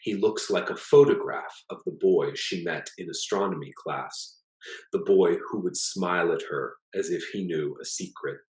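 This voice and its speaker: A man reading a literary short story aloud as its author, in a measured, plain storytelling delivery. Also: low voice, a little mellow. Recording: echoey, roomy sound.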